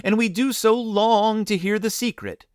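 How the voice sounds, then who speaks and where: Man voicing small children, high begging voices, storybook reading, lively swings, dry sound. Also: slow, no echo, exaggerated voice. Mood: eager pleading. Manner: high, breathless pleading. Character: pleading young children, excited and desperate.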